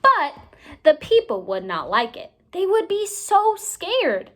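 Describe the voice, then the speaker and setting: A woman with a high voice reads a children's story aloud. Her pitch rises and falls in a lively way, and she takes her time, stretching words out for young listeners. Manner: expressive, deliberate phrasing with drawn-out words for young listeners. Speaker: teacher reading a storybook aloud. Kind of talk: children's read-aloud narration.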